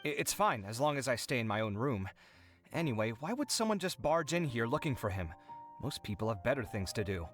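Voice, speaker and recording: Man as touchy young housemate, animated, mid-pitched, defensive, game cutscene, faint music. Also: fairly quick, lightly acted. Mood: exasperated. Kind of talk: video game cutscene acting.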